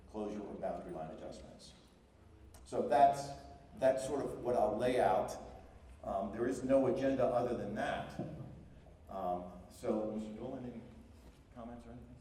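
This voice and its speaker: A man's voice: lowish voice, dark tone, a little hiss or hum. A man speaking as a school superintendent at a town meeting, plainspoken and firm about the ground rules. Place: large echoey public hall. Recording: echoey hall, voice from far off.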